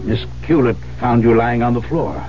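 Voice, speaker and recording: An actor playing a stern, rational friend, firm and admonishing, in a 1970s radio drama. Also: male voice, medium pitch, normal speed, loud music behind the voice, lightly acted. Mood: skeptical. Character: stern, rational male friend confronting a widow. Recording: muffled, noisy vintage radio broadcast.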